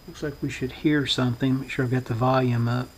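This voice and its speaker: Man with a very even, unvarying delivery. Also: mid-range voice, a little fast, slight background noise. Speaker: host demonstrating a vintage radio repair. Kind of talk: hands-on workbench demonstration. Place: indoor workbench.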